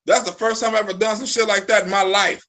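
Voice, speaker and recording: A man's voice: sits high, fairly even intonation, quick pace, pushed and bright. A man in his forties hosting a live stream, telling loose, profane stories full of restarts. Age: 40s. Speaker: live show host. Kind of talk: casual live-stream chat.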